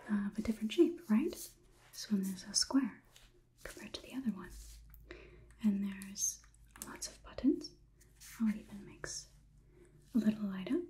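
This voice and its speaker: A young woman performing an ASMR roleplay as a calm robot overlord, whispering in a measured, inquisitive, slightly condescending manner. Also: mid-range voice, close to an everyday voice. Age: young adult. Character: calm, clinical robot overlord examining a human. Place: small indoor room.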